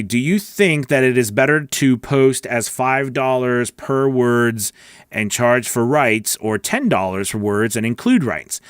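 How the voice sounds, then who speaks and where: A man's voice: mid-range voice, clean tone, no room sound. A middle-aged man chatting casually and directly as a livestream host and coach, answering questions on a close studio mic. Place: small home recording studio. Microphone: close broadcast mic on boom arm.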